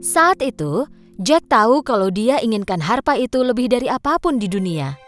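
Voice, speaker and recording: A woman storyteller with an Indonesian accent reads a children's tale. Her voice is fairly high and very animated in pitch, with faint music behind it. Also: normal speed. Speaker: storyteller, narrator. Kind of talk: scripted children's story narration.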